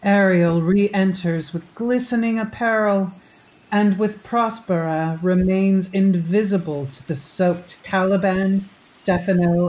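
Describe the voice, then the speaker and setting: A woman's voice: medium pitch, a little mellow, poor audio. A woman narrating scripted stage directions for an audio drama.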